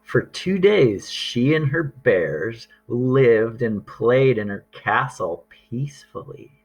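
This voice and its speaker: A man reading a picture book aloud to young children, deliberate and expressive, drawing out words and pausing for drama. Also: mid-range voice, vocal fry, husky, rough recording.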